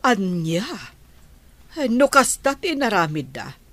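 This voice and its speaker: Woman acting hesitant mid-pitched Ilocano woman. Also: very animated, slow pace, fairly bright tone, no room sound. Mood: hesitant, sympathetic. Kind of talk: Ilocano radio drama acting.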